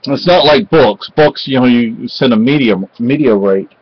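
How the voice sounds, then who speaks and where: A middle-aged man from Spain chatting casually at home in a halting, rambling way with lots of fillers, recorded close on a webcam. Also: mid-range voice, slightly rough. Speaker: vlogger. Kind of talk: casual home vlog chat. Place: cluttered home room with bookshelves. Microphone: webcam at close range. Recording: dull lo-fi webcam audio.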